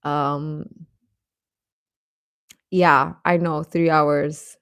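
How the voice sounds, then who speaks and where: Female live stream host: unhurried delivery, lowish pitch, informal and a little hesitant, talking straight to viewers. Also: flat delivery, clean tone, no echo, studio quality.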